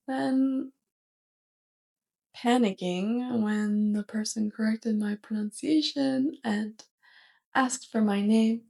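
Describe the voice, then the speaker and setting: Girl doing an awkward curious alien lady roommate asmr, kinda high creaky voice, stiff polite wording. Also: darkish tone, heavily acted. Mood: reminiscing, awkward. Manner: stilted, over-formal, hesitant phrasing. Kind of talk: ASMR roleplay.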